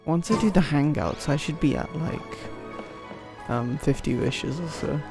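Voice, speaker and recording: A man's voice: on the high side, measured pace, vocal fry. A man giving offhand, casual gameplay commentary, muttering to himself over game music. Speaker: game streamer.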